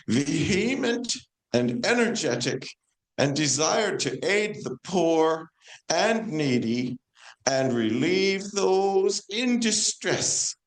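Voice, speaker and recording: Woman doing a dignified saint lady in a play, slow declamatory storytelling, really animated pitch. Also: exaggerated voice, poor audio. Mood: proud, solemn. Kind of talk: amateur stage play performance.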